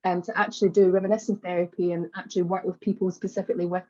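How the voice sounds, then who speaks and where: Mellow Glaswegian woman on lo-fi audio. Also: lowish voice, very fast. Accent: Scottish (Glaswegian). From Glasgow, Scotland. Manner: relaxed, storytelling, frequent "um" and "kind of". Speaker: guest, social media agency founder. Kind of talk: conversational interview. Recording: dull lo-fi remote audio.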